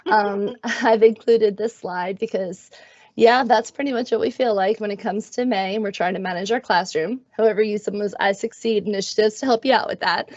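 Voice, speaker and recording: A middle-aged woman with a raspy, hoarse voice from being sick, presenting in a friendly, self-deprecating way on a video call. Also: mid-range voice, brisk, a little mellow, no echo. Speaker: guest presenter, magnet schools coordinator. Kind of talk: webinar presentation. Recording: dull, dry video-call audio.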